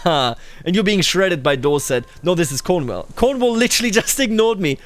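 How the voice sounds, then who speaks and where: A man giving unscripted roleplay commentary over a strategy game, musing aloud as a ruler in measured, formal wording. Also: high voice, expressive, dry recording. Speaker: gaming video host. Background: music behind the voice.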